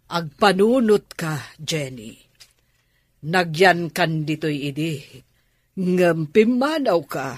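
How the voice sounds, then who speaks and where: Radio drama aunt, older, played by a man: highish husky voice, measured, coaxing and insistent with the young niece. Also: lively intonation, dry recording, studio quality.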